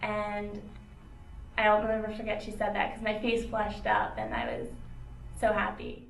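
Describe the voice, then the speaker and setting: A woman slowly and reflectively telling a personal memory. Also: mid-range voice, completely flat delivery, creaky, echoey room, far from the mic, rough recording. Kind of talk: personal interview recollection.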